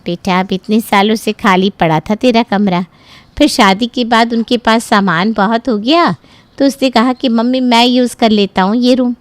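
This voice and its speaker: A woman's voice: fairly high pitch, normal speed, no room sound, close to an everyday voice. A practical middle-aged mother from a cartoon family tale, played by a woman. She coaxes and explains, trying to placate. The recording is dry and free of room sound. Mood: justifying. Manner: coaxing, explaining. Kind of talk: cartoon story dialogue.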